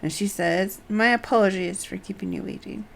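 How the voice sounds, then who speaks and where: Woman, game streamer, medium pitch, gravelly fry, live commentary and read-aloud dialogue, slight background noise. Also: normal speed, poor audio.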